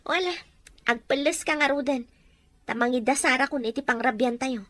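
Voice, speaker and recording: A woman's voice: high-pitched, moderate pace, fairly bright tone, dry recording. A woman playing an anxious, practical wife with a nagging, concerned manner in an Ilocano radio drama.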